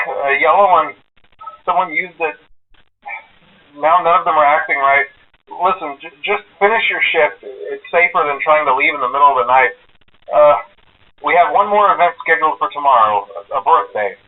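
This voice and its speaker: A man's voice: fairly high pitch, distant mic, lightly acted. A man playing a hesitant phone caller who leaves rambling, reassuring messages full of "uh" fillers. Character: nervous male night-guard phone caller. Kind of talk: recorded game voice lines. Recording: muffled echoey phone-message recording.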